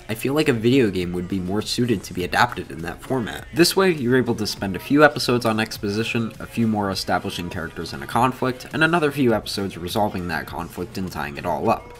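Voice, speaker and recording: A man hosting a video essay, reading scripted commentary in an informal, opinionated way. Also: fairly low pitch.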